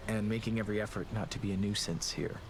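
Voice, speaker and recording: A man voicing a solemn, humble otherworldly guest in a video game, with a gravelly voice and stiffly polite, reserved speech. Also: steady tone, quick pace, background noise, close to an everyday voice. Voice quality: low, gravelly.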